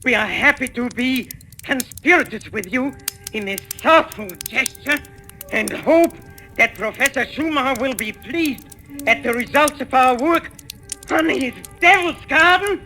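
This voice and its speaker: A man's voice: extremely high pitch, animated, a little slow, sharp tone, full character voice. An actor playing a furtive professor with a guilty secret in 1940s radio suspense style, hesitant and stammering in his speech. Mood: mounting dread. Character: furtive professor, nervously jolly, haunted wife-killer. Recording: vintage radio recording, music underneath.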